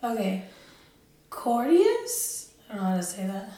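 A woman's voice: on the high side, big pitch swings, a little slow, mellow. A young woman co-hosting a casual chat podcast, joking bluntly and laughing often. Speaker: podcast co-host. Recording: very echoey room, distant mic.

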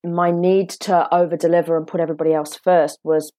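A woman from the United Kingdom with a British accent, a guest explaining her ideas in a measured, reflective way. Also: fairly low pitch, level tone, warm tone, no room sound, studio quality. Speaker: guest, author and coach. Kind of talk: podcast interview.

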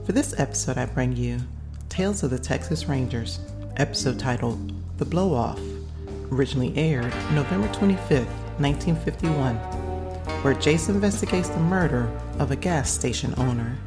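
Brief: podcast host woman, extremely low voice, calm and welcoming, music behind. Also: normal speed. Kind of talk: scripted podcast intro.

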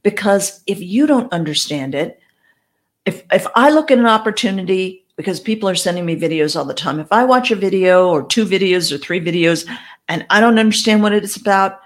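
A woman's voice: medium pitch, lively intonation, fairly quick. A woman with English as her first language, giving direct, conversational advice as a network marketing coach. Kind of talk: advice talk to camera.

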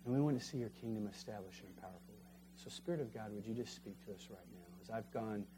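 A man preaching a sermon as pastor and leading a closing prayer, fervent, swinging from emphatic peaks to hushed prayer. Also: on the low side, fast, background noise. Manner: fervent, rising to emphatic peaks then dropping to quiet prayer. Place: church sanctuary.

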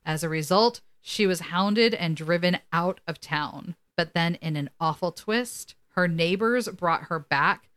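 Low-pitched woman reading articles on a podcast. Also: a little slow, hardly any pauses, a bit bright, clear, resonant tone. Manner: wry asides while reading. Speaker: podcast co-host. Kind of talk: casual podcast chat, reading articles aloud.

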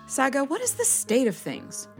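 A woman playing a wise, dignified badger abbess who speaks with formal, measured authority in a children's audio drama. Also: sits high, wildly swooping pitch, faint music behind, exaggerated voice. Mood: dignified, grave.

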